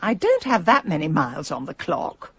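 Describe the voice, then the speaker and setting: A woman playing a headstrong, middle-aged English businesswoman in a radio comedy-drama, sardonic, brisk and stubborn. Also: low-pitched, sing-song, muffled recording, crowd noise. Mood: dry, confiding. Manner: brisk, dry, exasperated.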